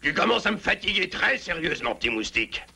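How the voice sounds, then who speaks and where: A man's voice: on the high side, very animated, fast talker, creaky, bright, forceful tone, slight background noise, full character voice. A man voicing a pompous, irritable lion chief in a French cartoon, imperious and menacing. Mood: irritated, menacing. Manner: blustering, imperious. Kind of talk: French dubbed cartoon villain acting.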